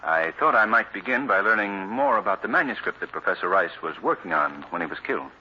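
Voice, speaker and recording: An actor playing a courteous, composed gentleman sleuth in an old radio drama, speaking in a polite, measured way. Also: deep voice, expressive, dull audio. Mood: courteous, inquiring. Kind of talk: 1940s radio acting. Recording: hissy, band-limited old radio recording.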